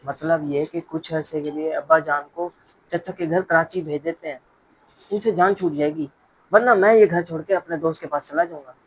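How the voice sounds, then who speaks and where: A man's voice: a little high, fairly even intonation, normal speed, breathy edge, poor audio. A man playing a self-centred younger son in a family moral short drama. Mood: scheming. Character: selfish younger adult son, scheming, later repentant. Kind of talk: Urdu moral short-film acting.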